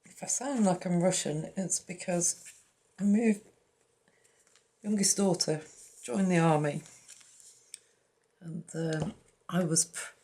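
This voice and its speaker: Low, gravelly woman chatting over her crafting. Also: fairly even intonation, takes it slowly, pauses often, mellow. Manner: chatty, self-deprecating, murmuring while working. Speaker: craft tutorial host. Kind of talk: casual crafting tutorial. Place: indoors at a craft table. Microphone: overhead camera on the work, speaker off frame.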